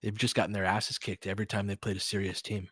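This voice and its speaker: A man's voice: fairly low pitch, flat delivery, fast talker, fairly bright tone, breathy edge, dry recording, studio quality. A man giving informal live-stream play-by-play and commentary, thinking aloud as he chats with listeners.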